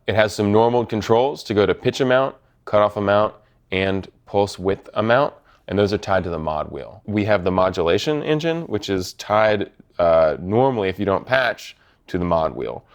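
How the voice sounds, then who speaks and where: A man's voice: lowish voice, lively intonation, normal speed. A man giving a methodical, matter-of-fact product demonstration as a presenter. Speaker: product presenter from a synth maker. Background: synthesizer tones.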